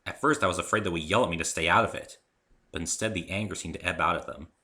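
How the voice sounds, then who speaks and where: A man narrating a first-person story as an expressive dramatic reading. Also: sits low, fast talker. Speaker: narrator and author.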